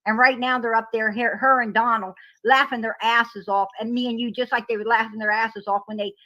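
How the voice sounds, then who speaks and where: A woman's voice: a little high, fairly even intonation, fairly quick, bright, forceful tone, no room sound. A woman speaks bluntly and with heat, pressing each point hard. Her voice comes through dull and lo-fi with no room around it. She sounds fed up and emphatic. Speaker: panel guest. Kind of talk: heated livestream panel talk.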